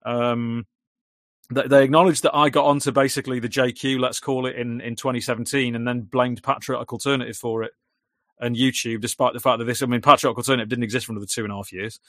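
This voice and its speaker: British man, droning delivery, rambling chat, fast pace. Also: mid-range voice, steady tone, no echo, studio quality. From Britain. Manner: rambling, repeats words when restarting. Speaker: YouTuber guest. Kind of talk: casual chat.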